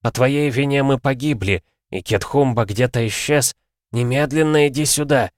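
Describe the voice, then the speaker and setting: A man's voice: mid-range voice, moderate pace, no room sound, clean studio sound, pitched above the performer's own voice, lightly acted. A man voicing a confused, reproachful dead father scolding his son in an audiobook.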